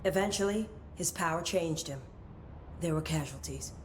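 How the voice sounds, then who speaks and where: A woman voicing a secretive official in a video game. Her low voice stays formal and commanding. A faint rumble sits under it. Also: moderate pace, natural voice. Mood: grave. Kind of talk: video game cutscene acting.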